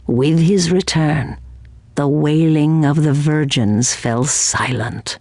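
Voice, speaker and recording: Husky, slow old seer woman. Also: low voice, a little mellow, no echo. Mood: solemn storytelling. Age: older. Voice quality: breathy, husky, aged. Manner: slow, grave, portentous. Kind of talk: dramatic fantasy game voice acting.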